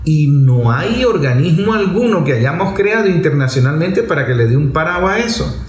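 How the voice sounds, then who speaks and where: A man's voice: fairly high pitch, animated, normal speed. A man with a Venezuelan accent giving an impassioned political monologue, emphatic and rhetorical, repeating phrases to drive points home. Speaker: political commentator. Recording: roomy echo, faint background noise.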